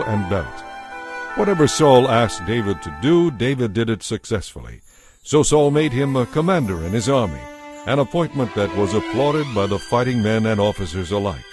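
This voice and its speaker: A man tells a Bible story as scripted narration. His mid-pitched voice moves widely in intonation, measured and expressive, with soft music behind it.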